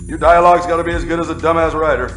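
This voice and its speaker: A man as bullying movie director, highish voice, brusque, contemptuous, echoey space, radio play. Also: steady tone, rapid-fire, fairly bright tone, soft background music, close to an everyday voice. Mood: impatient, bullying. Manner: brusque, domineering. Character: bullying, impatient, contemptuous male porn film director. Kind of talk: audio play acting. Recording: echoey room.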